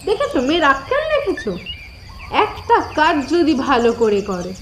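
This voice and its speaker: A woman's voice: wildly swooping pitch, normal speed, slight background noise. A woman voicing a bossy, scheming young village elder sister in a cartoon, complaining and scolding in an exaggerated whiny manner. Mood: indignant complaining. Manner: shrill complaining, wailing and scolding.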